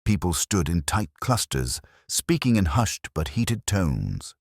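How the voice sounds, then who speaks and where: Male narrator, very deep, expressive pitch, scripted mystery storytelling, dry close sound. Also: slow pace, creaky, slightly rough, dry recording.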